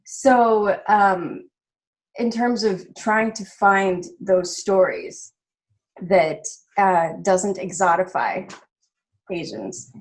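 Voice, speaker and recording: A woman's voice: medium pitch, fairly even intonation, extremely slow, poor audio. A woman from the Philippines speaking as a panelist on a video call, thoughtful and hesitant, with frequent fillers. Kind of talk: casual panel discussion.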